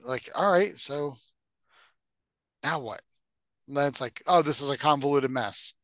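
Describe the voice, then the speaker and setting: A man's voice: mid-range voice, a little slow, pushed and bright, no room sound. A man co-hosting a podcast in casual, opinionated chat about entertainment, blunt and given to casual swearing. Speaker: podcast co-host.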